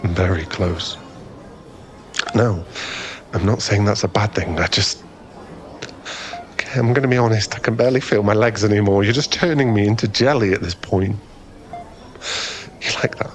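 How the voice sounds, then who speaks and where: A man playing a flustered, smitten young man in an ASMR boyfriend roleplay, halting and stammering as he rambles nervously to a crush. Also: fairly low pitch, lightly acted. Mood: flustered, overwhelmed.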